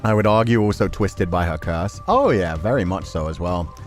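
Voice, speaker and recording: A man's voice: low-pitched, expressive, moderate pace, soft background music. A man giving casual, candid game commentary, reacting as he goes and swearing freely. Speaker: streamer playing a visual novel. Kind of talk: casual game commentary with read-aloud text.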